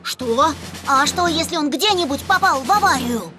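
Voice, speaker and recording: A woman playing a kind, caring young ambulance robot in a Russian cartoon dub, expressive and reassuring. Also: sits high, moderate pace. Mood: anxious. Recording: music behind.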